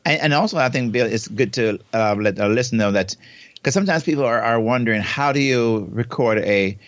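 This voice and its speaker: A Jamaican man co-hosts a podcast from home, talking at length and circling back over his words. His voice sits at a middle pitch. The dry recording sounds dull and lo-fi. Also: a little fast, no room sound. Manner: expansive, repeats and restarts words. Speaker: podcast co-host. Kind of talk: casual podcast chat. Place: home in South Florida, remote recording. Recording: dull, lo-fi remote audio.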